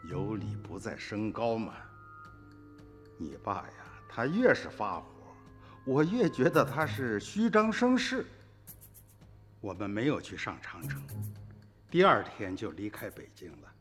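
A man's voice: lowish voice, wildly swooping pitch, a little slow, pauses often, faint music behind. An actor playing a principled, earnest old farmer and grandfather in a radio drama, speaking in a plain, folksy way. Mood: reflective.

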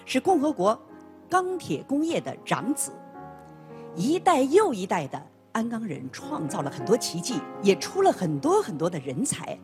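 A woman's voice: fairly high pitch, wildly swooping pitch, normal speed. A woman presenting a scripted radio introduction in an emphatic, presentational manner. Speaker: programme presenter. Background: music.

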